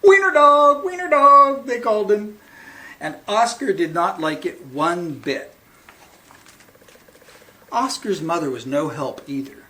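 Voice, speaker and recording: A man reading a picture book aloud to children as a librarian at storytime, with theatrical, deliberate phrasing. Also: on the high side, expressive, fairly bright tone.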